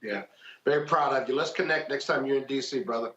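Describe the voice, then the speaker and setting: A man's voice: mid-range voice, quick pace, fairly bright tone. A man questioning a guest as a panelist in a friendly political interview, on an echoey, dull recording. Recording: echoey room, dull lo-fi sound.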